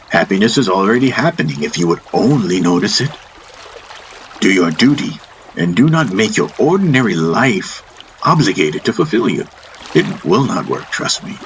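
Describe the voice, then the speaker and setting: A man's voice: on the low side, fairly bright tone, a little hiss or hum, rough recording. A man voicing an eccentric, teasing spiritual master in a fantasy audiobook, preaching emphatically in rhetorical questions and lessons. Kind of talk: audiobook character voice. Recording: music under the voice.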